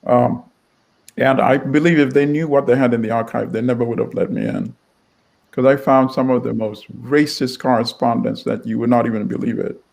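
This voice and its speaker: Middle-aged man from Uganda, publisher as interview guest; gravelly, measured, wry delivery. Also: medium pitch, fairly even intonation, a little mellow. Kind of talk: storytelling interview answer.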